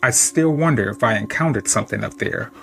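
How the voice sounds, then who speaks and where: A man narrating true-encounter horror stories in a steady, measured reading voice. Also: medium pitch, vocal fry, faint music behind. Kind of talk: scripted horror story narration.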